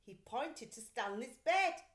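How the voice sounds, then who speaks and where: A woman reading a children's story aloud like a teacher, in an expressive, deliberate, carefully phrased way. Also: on the high side. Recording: echoey, roomy sound.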